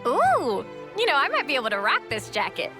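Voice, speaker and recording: A woman's voice: sits high, wildly swooping pitch, brisk, over music. A woman playing a perky, curious young soldier in a video game, breezy and informal. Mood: upbeat.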